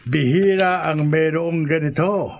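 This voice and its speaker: A man's voice: a little high, measured pace, creaky. A man playing a stubborn, commanding older grandfather and hacienda owner in a radio drama, with a Filipino accent. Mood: firm.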